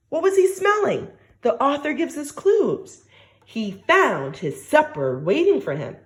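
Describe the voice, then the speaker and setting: Expressive woman teacher reading slowly to children. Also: medium pitch. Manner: expressive, questioning, coaxing. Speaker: primary school teacher. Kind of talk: read-aloud lesson for young children.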